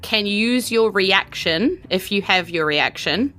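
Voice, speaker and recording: A woman's voice: mid-range voice, animated, slow, bright, forceful tone. A woman with a New Zealand accent casually narrating a tabletop game as game master. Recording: background music under speech. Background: music.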